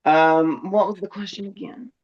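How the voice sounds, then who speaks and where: A man in his thirties telling stories in casual chat, animated and laughing often, acting out other people's lines. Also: sits high, normal speed, husky, lo-fi sound. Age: 30s. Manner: animated, laughs often, mimics voices in quotes.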